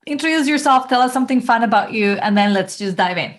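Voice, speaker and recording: A woman hosting a podcast interview, chatty and lively, laughing often as she talks. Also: a little high, quick pace. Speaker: podcast host and coach. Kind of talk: casual interview chat.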